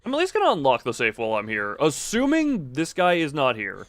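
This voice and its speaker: A man's voice: medium pitch, huge pitch swings, a little fast, a bit bright, no room sound. A man giving casual live video game commentary, thinking aloud in a rambling, self-interrupting way with playful, self-deprecating wordplay.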